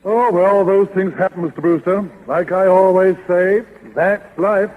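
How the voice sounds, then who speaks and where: High-voiced, genial lawyer, tinny radio. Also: male voice, steady tone, a little slow. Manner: formal, affable. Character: genial, formal family lawyer with corny sayings. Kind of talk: 1930s radio comedy acting. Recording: tinny, narrow old radio broadcast.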